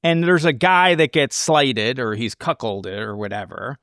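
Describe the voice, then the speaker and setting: A man's voice: mid-range voice, lively intonation, unhurried, a bit bright, clear, resonant tone, dry recording, clean studio sound. A man hosting a casual film discussion, thinking aloud in rambling, speculative explanations. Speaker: podcast host analyzing a film.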